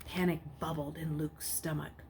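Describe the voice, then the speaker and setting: A woman narrating a novel aloud in an expressive, dramatic storybook style. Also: low voice, normal speed. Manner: dramatic, acts out the characters. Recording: clearly noisy background.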